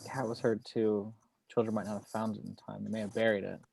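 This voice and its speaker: Actor as male investigator, exhausted, soft-spoken, detached, clipped, rapid pace, table-read acting. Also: lowish voice, flat delivery, creaky, slight background noise. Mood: detached, pensive.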